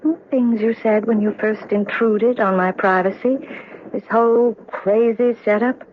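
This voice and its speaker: A woman's voice: fairly high pitch, fairly even intonation, unhurried, natural voice. A woman acting a bitter, cynical young widow in a radio drama, with a curt, sardonic way of talking. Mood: prickly. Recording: muffled, noisy old radio drama recording.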